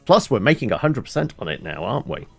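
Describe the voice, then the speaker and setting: A man's voice: mid-range voice, sing-song, fast talker, gravelly, soft background music. A British man giving casual, chatty live commentary as he plays a game, with wry asides. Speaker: game streamer.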